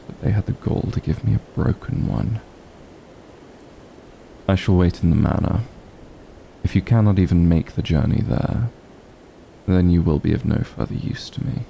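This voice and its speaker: A man playing a cold, possessive, centuries-old vampire lord in an ASMR roleplay, speaking in a hushed, gravelly, imperious and disdainful way. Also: deep voice, a little hiss or hum, heavily acted, low-quality recording. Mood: disdainful, irritated, then cold, commanding. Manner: imperious, disdainful, measured and commanding. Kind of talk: ASMR roleplay, scripted dramatic monologue.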